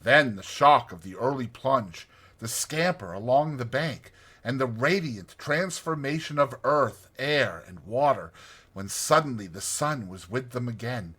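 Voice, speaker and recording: A man reads a storybook as an audiobook narrator, expressive and theatrical. His mid-pitched voice is bright and slightly rough, and it moves in lively swings. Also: unhurried.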